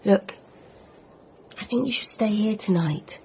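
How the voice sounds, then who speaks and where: An actress playing a caring, practical girlfriend in a radio drama, gentle and reassuring in manner. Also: medium pitch, a little slow, gravelly, breathy edge, muffled recording, exaggerated voice. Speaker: supporting character.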